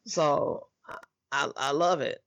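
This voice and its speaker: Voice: medium pitch, takes it slowly, gravelly, fairly bright tone. A podcast host interviewing a guest in an effusive, supportive, chatty way. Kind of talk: conversational interview.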